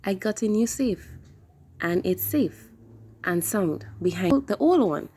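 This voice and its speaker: A woman voicing a calm bank owner in a children's storybook, explaining things firmly. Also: mid-range voice, slow pace, slightly rough. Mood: reassuring.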